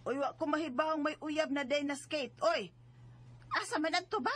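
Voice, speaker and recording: A woman's voice: high voice, moderate pace, fairly bright tone. A woman acting a stern, scolding mother. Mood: surprised. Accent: Cebuano Filipino. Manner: scolding, exclamatory. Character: worried, stern middle-aged mother scolding her daughter. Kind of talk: Bisaya radio drama acting.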